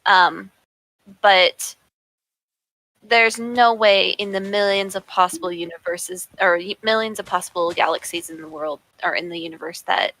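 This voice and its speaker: A woman with a high, squeaky, rough voice chatting casually on a livestream. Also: fairly even intonation, moderate pace, sharp tone, poor audio. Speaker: livestream gamer. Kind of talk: casual chat.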